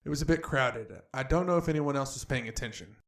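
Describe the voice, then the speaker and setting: A man's voice: medium pitch, fast, lightly acted. Man playing a guarded, dutiful young agent. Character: earnest, guarded young agent reporting to his superiors. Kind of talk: fantasy audio drama acting.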